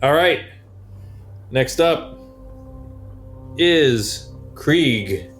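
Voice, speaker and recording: A man's voice: fairly high pitch, animated, drawn-out words, faint music behind. A man running a tabletop game, narrating action and explaining rules in a casual, conversational way. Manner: informal, conversational. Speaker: game master.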